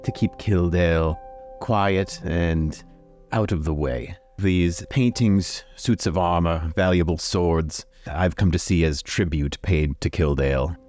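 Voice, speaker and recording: A man voicing a stern but softening, weary older baron in a tabletop roleplay, speaking formally with measured pauses. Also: deep voice. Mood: weary, resigned. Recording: music playing behind.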